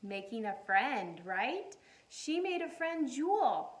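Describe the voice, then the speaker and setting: A woman teacher reading a picture book aloud to young children, animated and encouraging, often putting questions to her listeners. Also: high-pitched. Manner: encouraging, animated, asks questions and pauses for answers.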